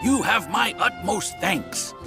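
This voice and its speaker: A man's voice: high-pitched, lively intonation, slow pace, full character voice. A man playing an effusive, ingratiating middle-aged landlord in a video game, repeating himself eagerly. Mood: grateful. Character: effusive, obliging male landlord and businessman. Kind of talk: video game character acting. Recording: background music behind the voice.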